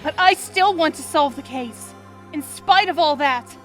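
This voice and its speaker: A young woman playing an excitable, earnest young detective in a video game fan dub, with expressive, peppy delivery. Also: high voice, a little slow, faint music behind. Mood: apologetic, pleading.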